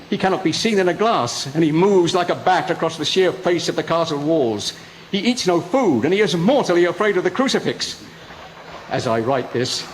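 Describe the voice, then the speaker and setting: Guy doing scared desperate young man trapped, theatrical radio drama, highish voice, hissy audio. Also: lightly acted. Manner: anguished, theatrical. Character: frightened, desperate young English male prisoner keeping a diary. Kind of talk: melodramatic radio drama acting.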